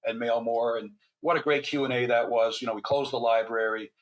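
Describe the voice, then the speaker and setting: A man's voice: mid-range voice, little pitch movement, brisk, lo-fi sound. An older man speaking as an interview guest, telling anecdotes in a rambling, conversational way. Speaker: guest author and physician.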